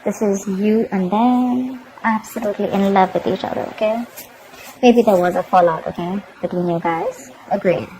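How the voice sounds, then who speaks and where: A woman's voice: medium pitch, moderate pace, mellow, slight background noise, poor audio. A woman giving a tarot reading to her viewers, emphatic and repetitive, often checking in with "okay?". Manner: emphatic, repeats herself, keeps asking "okay?". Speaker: tarot reader.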